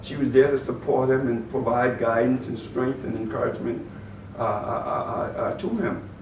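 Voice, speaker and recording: A man with a Bahamian accent, a guest in an interview, answering in a measured way and often repeating words as he gathers his thoughts. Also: mid-range voice, darkish tone. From Turks and Caicos Islands, raised in the Bahamas. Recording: roomy, distant mic with faint noise.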